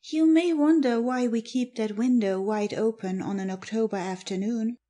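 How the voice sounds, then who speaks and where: A woman playing a self-possessed young girl who tells an eerie tale in a soft, confiding way for an audiobook. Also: on the high side, normal speed, lightly acted. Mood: composed, confiding. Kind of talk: dramatic audiobook reading.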